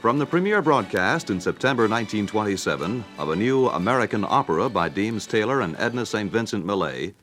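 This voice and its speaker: A man's voice: on the low side, fairly bright tone. A man delivering scripted documentary narration. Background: background music.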